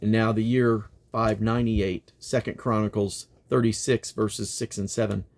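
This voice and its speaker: A man's voice: on the low side, flat delivery, moderate pace. Man solemnly reading scripture aloud. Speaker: Bible reader and host. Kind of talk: solemn scripture reading with brief spoken references.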